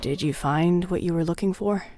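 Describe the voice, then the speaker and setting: An actress voices a practical, guarded woman in a story-driven game. Her voice is on the lower side. She speaks in a measured, understated way that shows quiet care. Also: a little hiss or hum, natural voice. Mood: guarded, resigned. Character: caring, guarded, practical woman, a loyal close colleague. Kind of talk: dramatic video game dialogue.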